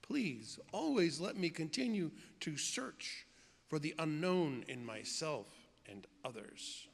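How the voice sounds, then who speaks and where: A man reading as a minister at a church service in a solemn, dramatic way, deliberate and declamatory with drawn-out phrases. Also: on the high side, big pitch swings, gravelly. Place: church sanctuary. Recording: long church hall reverb.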